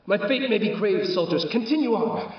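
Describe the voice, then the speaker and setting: A man's voice: high-pitched, animated, moderate pace. A man playing a grandiose, stern ghostly battle commander in an audio drama, with pompous, declamatory delivery. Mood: grave, resolute. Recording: very echoey, distant, dull sound with music.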